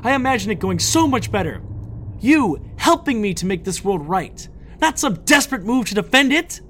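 A man's voice: mid-range voice, huge pitch swings, fairly quick, darkish tone, a little hiss or hum. A man voicing a wicked woman spellcaster in an audiobook, with a taunting, menacing, emphatic delivery. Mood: frustrated yelling.

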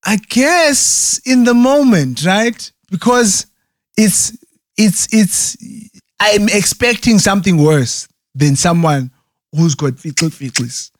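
A man's voice: high voice, expressive, slow pace, no room sound. A man in casual chat who rambles, restarts often and keeps checking in with "right?". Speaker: conversation partner.

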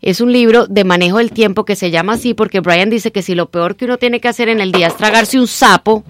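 A middle-aged Colombian woman with a slightly mixed Nicaraguan accent, speaking as a radio guest and time-management trainer in an animated, persuasive coaching tone. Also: medium pitch, brisk, no room sound. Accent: Colombian Spanish mixed with Nicaraguan. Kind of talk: radio interview, promoting a seminar.

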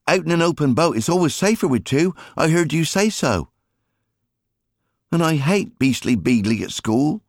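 A man's voice: a little high, no echo, studio quality, pitched above the performer's own voice. A man voicing a young schoolboy in an audiobook, whining and insistent as he argues his case. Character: young schoolboy pleading and arguing with his mother. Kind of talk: audiobook character acting.

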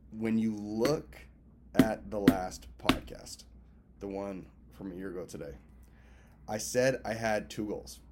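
Laid-back, low-voiced man in his twenties. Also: darkish tone, a little hiss or hum. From Massachusetts, US. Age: 20s. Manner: loose, profane, talks to viewers as friends. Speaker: filmmaker and event host vlogging. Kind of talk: casual rambling birthday check-in. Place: at a hotel.

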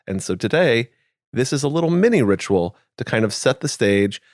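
A man hosting a podcast in casual chat, relaxed and thinking aloud with fillers. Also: medium pitch, moderate pace, dry recording, clean studio sound. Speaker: podcast host.

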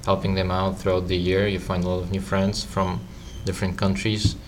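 A teenage student from Ukraine answering interview questions in a measured way, with many ums and uhs. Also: male voice, deep voice, completely flat delivery, slight background noise. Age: teens. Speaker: student guest.

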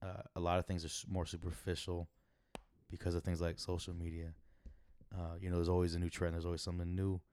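A young man co-hosting a podcast with a raspy voice, talking thoughtfully with hesitations and restarts. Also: deep voice, completely flat delivery, fast, warm tone, no echo. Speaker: podcast co-host. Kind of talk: casual chat.

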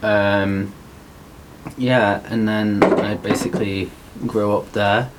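Lower-voiced young man. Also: steady tone, heavy background noise. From Wigan, England. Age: young adult. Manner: slow, thoughtful, filler-heavy. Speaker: podcast co-host. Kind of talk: casual chat.

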